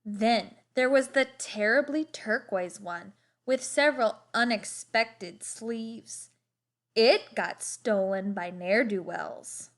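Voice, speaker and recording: A woman reading a children's storybook aloud expressively, with deliberate, dramatic emphasis on words. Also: a little high.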